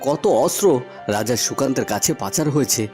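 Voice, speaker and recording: A man's voice: medium pitch, lively intonation, fairly quick, darkish tone, soft background music, close to an everyday voice. A man voicing a brave young village lad at a royal court, speaking solemnly and formally in a fairy-tale cartoon. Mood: accusing, firm.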